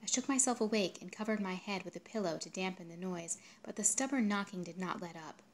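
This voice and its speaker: Expressive woman reading a literary story. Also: mid-range voice, brisk, slight background noise. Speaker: translator reading their own literary translation.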